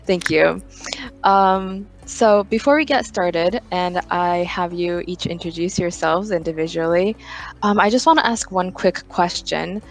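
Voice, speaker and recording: An American interviewer casually hosting an online roundtable, speaking with many hesitant ums. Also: male voice, sits high, measured pace, creaky, soft background music. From United States. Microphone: video call.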